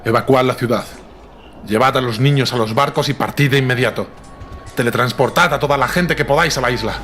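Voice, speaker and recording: A man's voice: medium pitch, brisk, a bit bright, faint music behind, lightly acted. A man playing a grave, resolute elf leader in a radio drama, speaking with solemn authority. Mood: urgent, commanding.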